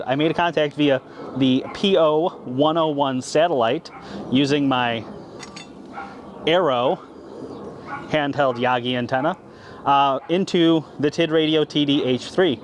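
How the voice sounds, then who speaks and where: A man's voice: mid-range voice, a little hiss or hum. An American man talking casually as the host of an amateur radio video. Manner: says call signs in phonetic alphabet. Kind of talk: casual vlog talk and radio call-sign exchanges.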